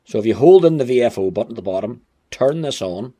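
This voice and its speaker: A man's voice: mid-range voice, expressive, fast talker, warm tone. Male reviewer, mid-range voice, informal, wry, plain-spoken hands-on delivery. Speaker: amateur radio gadget reviewer. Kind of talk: casual hands-on product review. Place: indoors at home.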